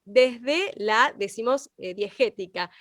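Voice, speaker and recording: High-voiced Argentine woman teaching, dry sound. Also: slow pace, dry recording. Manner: expressive, illustrative. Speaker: radio language teacher. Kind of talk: explaining with acted-out examples.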